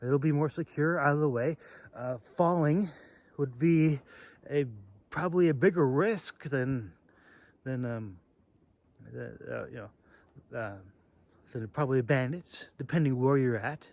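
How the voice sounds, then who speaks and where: A man hosting a casual, off-the-cuff demonstration video, talking in a halting, rambling way with phrases that trail off. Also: medium pitch, a little mellow. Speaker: video host demonstrating sword carry.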